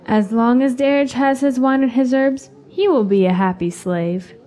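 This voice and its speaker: A woman's voice: a little high, moderate pace, warm tone, close to an everyday voice. A woman playing a haughty, ambitious young village beauty in a fantasy radio drama, with an airy manner. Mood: scornful.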